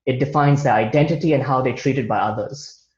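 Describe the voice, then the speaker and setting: A man presents a prepared talk over a video call. He reads from notes at an even, careful pace and sometimes restarts a word. The sound is roomy and dull. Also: medium pitch. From India. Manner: measured, read-from-notes delivery with occasional restarts. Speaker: guest speaker. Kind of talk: online presentation over slides.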